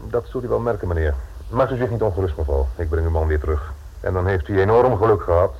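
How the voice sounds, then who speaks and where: An actor playing a stern, dryly sardonic police inspector in a Dutch radio drama, speaking formally and commandingly with a Netherlands accent. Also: male voice, low voice, lively intonation, fast talker, breathy edge, full character voice. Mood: polite, firm. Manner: formal, commanding, faintly sarcastic. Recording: muffled, narrow-band old radio recording.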